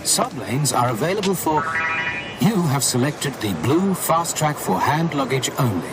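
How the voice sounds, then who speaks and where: Officious, lilting checkpoint machine. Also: male voice, a little high, very animated, moderate pace, low-quality recording. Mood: officious, unbothered. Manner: formulaic, officious announcing. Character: officious automated customs checkpoint machine, chirpy and unbothered. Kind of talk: comic audio drama acting. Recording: loud music and vehicle noise behind.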